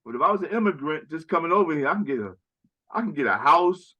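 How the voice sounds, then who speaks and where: A man's voice: on the high side, expressive, fast, sharp tone, dull audio. A man speaking in a casual conversational chat as a podcast guest, rambling and often tagging "right?" and "you know".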